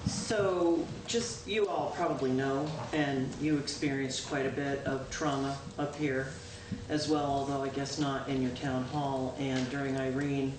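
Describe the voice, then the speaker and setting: Loud-voiced woman in an echoey meeting room. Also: extremely low pitch, steady tone, unhurried, few pauses. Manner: chatty, with frequent "um" and self-corrections. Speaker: town clerk giving a report to a town board. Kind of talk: informal spoken report at a public meeting. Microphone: distant room microphone. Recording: echoey, distant, noisy local-access meeting recording.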